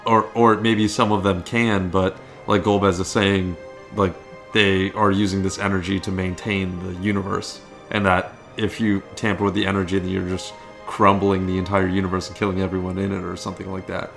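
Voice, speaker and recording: A man's voice: sits low, level tone, fairly quick, creaky, a bit bright, faint music behind. A man co-hosting a gaming video, chatting informally and agreeably about the cutscenes. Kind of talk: casual chat commentary on game cutscenes.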